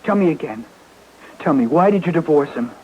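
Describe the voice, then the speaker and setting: A man's voice: on the high side, expressive, brisk, dark tone, close to an everyday voice. An actor playing a resentful, menacing teenage boy in a 1950s radio drama, needling and insistent. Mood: accusing. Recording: muffled, noisy old radio recording.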